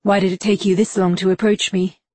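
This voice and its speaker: A woman's voice: medium pitch, flat delivery, brisk, a little mellow, dry recording, close to an everyday voice. A woman voicing a terse, guarded male investigator in an audiobook, speaking in short, clipped questions. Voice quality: curt and clipped. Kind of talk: audiobook character voice.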